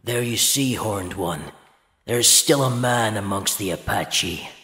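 A man acting a proud, scornful young man with a raspy voice and gruff, defiant manner in an audio drama. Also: lowish voice, unhurried, a bit bright, dry recording. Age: young adult.

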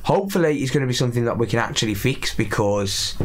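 Young male vlogger, raspy from illness, casual and self-deprecating, medium pitch, faint hiss behind. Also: expressive, quick pace. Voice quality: slightly raspy voice after illness. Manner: chatty, self-deprecating, thinks aloud while working. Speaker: electronics repair YouTuber. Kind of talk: casual repair vlog. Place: cluttered repair workshop, indoors.

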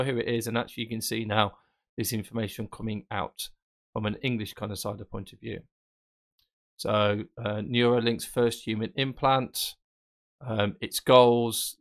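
A man presenting a screen-recorded software tutorial, explaining casually and thinking aloud with fillers. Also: lowish voice. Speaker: tech presenter.

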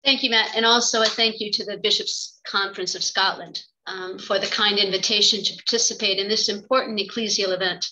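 A middle-aged woman reading a prepared academic lecture in a measured, formal manner on a video call. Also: mid-range voice, fairly even intonation, sharp tone, a little hiss or hum, rough recording. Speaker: theology professor and religious sister giving a talk. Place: indoor office, on a video call. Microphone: computer microphone on a video call.

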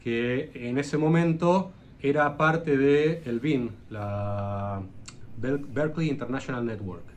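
A man's voice: medium pitch, slow pace. A man with an Argentine accent, a luthier guest on a video call, telling long stories with lots of hesitant "eh" fillers. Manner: hesitant, frequent "eh" fillers, rambling anecdotes. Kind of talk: casual interview storytelling.

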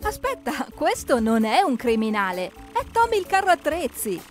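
A woman narrating a cartoon for children in an expressive, exclamatory storytelling manner. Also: high-pitched, moderate pace, faint music behind.

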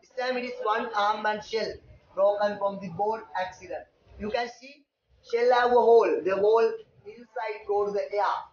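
A man guiding visitors around turtle tanks at an open-air hatchery, explaining in halting, broken English with many fillers, picked up by a visitor's handheld camera. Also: high voice, fairly even intonation, dull audio, slight background noise. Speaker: tour guide at a turtle hatchery. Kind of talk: guided tour explanation. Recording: distant, roomy, music laid over.

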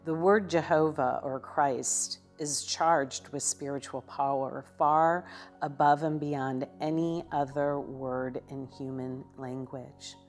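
A woman minister giving a contemplative devotional teaching in a living room, with measured delivery and occasional hesitations. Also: a bass voice, fairly even intonation, soft background music. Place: living room with a sofa, indoors.

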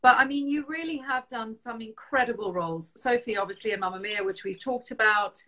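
A middle-aged woman with a South African accent hosting a casual video-call interview from home, chatty and quick to laugh. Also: on the high side, bright, forceful tone. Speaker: interview show host. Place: home room with shelves. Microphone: video call webcam.

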